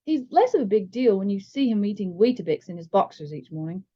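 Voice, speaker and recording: A woman's voice: medium pitch, sing-song, fairly quick, warm tone. Woman playing a friendly, modest young wizard boy. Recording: slightly dull, lo-fi sound.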